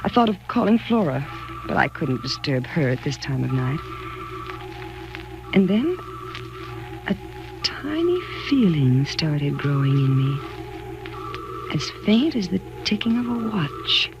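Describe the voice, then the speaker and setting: An actress in a 1940s radio thriller playing a frightened, anxious wife, with a dramatic, halting delivery. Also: female voice, sits low, big pitch swings, lo-fi sound, over music, full character voice. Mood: uneasy. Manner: dramatic, halting and stammering when afraid. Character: frightened, anxious wife narrating her growing dread. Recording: noisy old 1940s radio recording.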